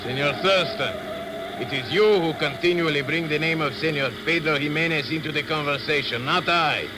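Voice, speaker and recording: An actor playing a corrupt Puerto Rican police captain, formal and coldly polite, in old radio drama style. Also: male voice, fairly high pitch, moderate pace, natural voice. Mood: coldly menacing. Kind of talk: 1940s radio acting. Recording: noisy old radio recording.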